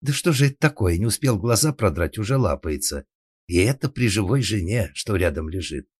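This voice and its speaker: A man's voice: lowish voice, very animated, fairly quick, darkish tone, breathy edge, no room sound, studio quality, pitched above the performer's own voice. A man voicing an indignant young maidservant who talks back in a defiant, colloquial, grumbling way in a comic story.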